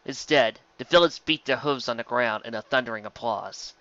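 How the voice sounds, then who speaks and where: A man's voice: medium pitch, moderate pace, pushed and bright, no echo, muffled recording. A man reading a fantasy story aloud as a narrator, in a dramatic scripted style. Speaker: audiobook narrator of fan fiction.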